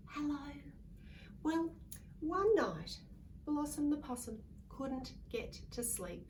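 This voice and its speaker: A woman reading a picture book aloud to young children in a sing-song way, coaxing them to join in. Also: mid-range voice. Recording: distant mic with faint background noise.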